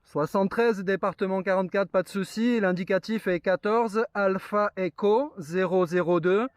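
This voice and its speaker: A man's voice: sits high, normal speed, no echo. A man chatting casually and explaining things as a radio operator. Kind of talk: casual radio contact chat.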